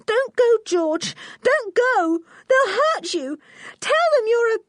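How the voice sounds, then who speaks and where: A woman's voice: extremely high pitch, very animated, slow, no echo, clean studio sound, pitched above the performer's own voice, exaggerated voice. A woman voicing a frightened young girl on the verge of tears. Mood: pleading.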